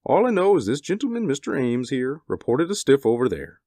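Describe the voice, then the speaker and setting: A man's voice: medium pitch, big pitch swings, fairly quick, mellow, no room sound, clean studio sound. An actor playing a brusque, pushy city policeman in a 1940s radio drama. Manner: gruff and pushy. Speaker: police officer.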